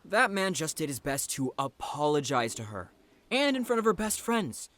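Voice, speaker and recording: A man's voice: fairly high pitch, big pitch swings, moderate pace, a bit bright. A man playing a defiant, indignant sailor in an audio drama, blunt and emphatic.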